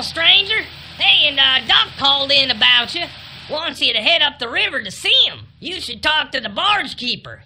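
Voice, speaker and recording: High folksy southern american townsfolk critter, chatty, western video game, music behind. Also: female voice, vocal fry, pushed and bright, heavily acted. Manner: folksy, colloquial.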